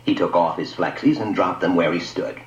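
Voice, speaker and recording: A man's voice: sits low, moderate pace. A man narrating a scripted science fiction story in a dramatic radio storytelling style. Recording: dull lo-fi audio, echoey room.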